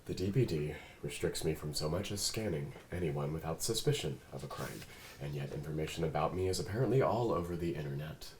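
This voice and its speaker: A man playing a calm, articulate android detective, proud and loyal, reading in a precise, measured way at a script rehearsal. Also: a bass voice, roomy sound. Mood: composed, earnest. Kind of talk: table read of a fan film script. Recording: noisy room recording.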